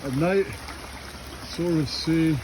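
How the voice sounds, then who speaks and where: A man's voice: on the high side, slow, noisy background. A man giving rambling, casual vlog narration outdoors in murmured, fragmentary musings. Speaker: amateur video maker.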